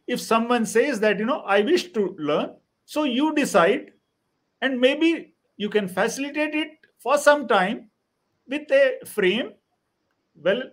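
Woman panelist, very high pitch, slow halting delivery, reflective, video call.

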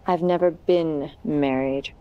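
An actress playing a guarded, protective sister in a historical audio drama, speaking curtly and firmly. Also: female voice, deep voice, steady tone, slow pace, mellow, clear, resonant tone, lo-fi sound. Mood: cold, defiant. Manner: curt, firm. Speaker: actor.

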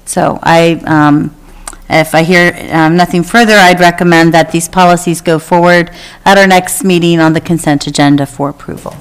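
A woman with a smooth, clear voice set slightly low reports to a school board. She speaks at a steady pace and fills her pauses with um. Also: rough recording. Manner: steady, with frequent um fillers. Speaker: school board policy committee member. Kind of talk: formal committee report at a meeting. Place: school board meeting room.

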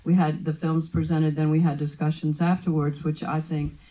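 An older woman, a film festival founder, recalling her early work in a thoughtful, unhurried way on a handheld mic at a seated panel. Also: low voice, little pitch movement, warm tone, lo-fi sound. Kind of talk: panel talk, reminiscing. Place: event room with perforated wall panels.

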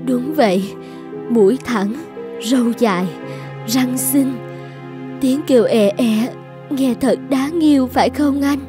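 A woman voicing a gentle woman of a magical kingdom in an animated fairy tale. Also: high voice, slow pace, long pauses, music in the background. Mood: gentle, pleased.

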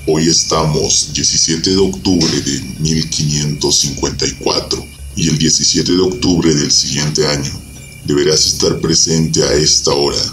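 A man playing an infernal devil spirit who bargains for souls, speaking slowly and with command in a storytelling legend. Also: extremely low pitch, steady tone, background noise. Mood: ominous, commanding. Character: infernal spirit, the devil offering a soul pact.

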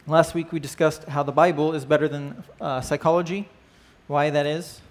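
A man in his thirties teaches a church class from a stage. His talk is loose and friendly, full of small fillers and questions to the group. His voice sits somewhat high for a man. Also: fairly even intonation, moderate pace. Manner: conversational, asks the class questions, frequent "right?" and "uh". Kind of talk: church class lesson with opening prayer. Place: church stage with band gear, indoors.

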